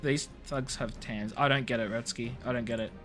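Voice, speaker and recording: A woman's voice: a bass voice, fairly even intonation, fairly quick, over music. A woman chatting casually as a game streamer, playful and full of jokey asides. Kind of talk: casual live-stream chat and commentary.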